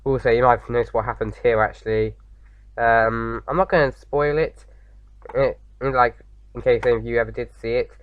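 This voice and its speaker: A man with a slight sore throat, slightly croaky, chatting casually as he hosts a game playthrough, rambling with lots of fillers. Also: mid-range voice, fairly even intonation, normal speed, no echo, muffled recording. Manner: rambling, many fillers and restarts. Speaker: gaming video host. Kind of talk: casual let's-play commentary.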